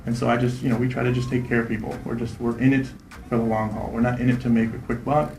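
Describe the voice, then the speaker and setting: Fast-talking man in his thirties, echoey room. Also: mid-range voice, little pitch movement, a little mellow. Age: 30s. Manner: fast, confident, repeats "right?". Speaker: real estate investor. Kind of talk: interview answers.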